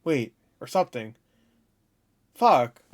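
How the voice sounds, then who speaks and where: A man's voice: mid-range voice, big pitch swings, slow pace. A man giving casual, rambling, sweary reaction commentary as a video host.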